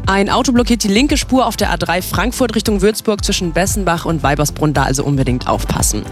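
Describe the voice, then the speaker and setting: A woman giving the radio traffic report in a brisk, friendly, informal way. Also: mid-range voice, soft background music.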